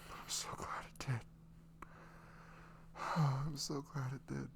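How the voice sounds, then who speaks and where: Man acting as a breathy, nervous, adoring boyfriend. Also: mid-range voice, level tone, whispered, voice pushed up. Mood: overjoyed, awestruck. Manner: breathy, halting, awestruck. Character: nervous, adoring young boyfriend meeting online love. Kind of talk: intimate ASMR roleplay.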